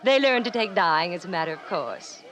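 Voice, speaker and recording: An actress playing a callously cheerful, matter-of-fact hospital attendant in an old radio drama. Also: female voice, on the low side, lively intonation, normal speed, fairly bright tone. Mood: brisk, cheerful. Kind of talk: 1950s radio drama acting. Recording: vintage radio recording with faint noise.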